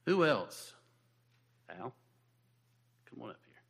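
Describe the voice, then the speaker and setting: A man's voice: mid-range voice, big pitch swings, takes it slowly, creaky, slight background noise. A man, the pastor, leading a memorial service and inviting people to come up and speak in short prompts.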